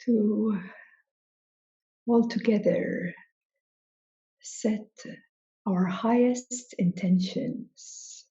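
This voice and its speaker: A woman guides a meditation as a spiritual teacher. Her voice is soft-edged and mellow, and she speaks extremely slowly, placing deliberate pauses between phrases. The recording is dull and a little muffled. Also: medium pitch.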